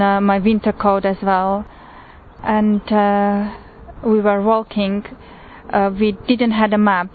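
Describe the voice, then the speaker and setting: Slovak-accented woman on a tinny line. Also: medium pitch. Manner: unhurried, with frequent pauses and fillers. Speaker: pilgrim sharing testimony. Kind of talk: personal testimony. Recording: tinny phone-like recording with faint noise.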